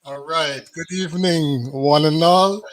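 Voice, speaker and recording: A man's voice: a little high, a little slow. A man hosting an informal talk show, often repeating his words and phrases as he goes.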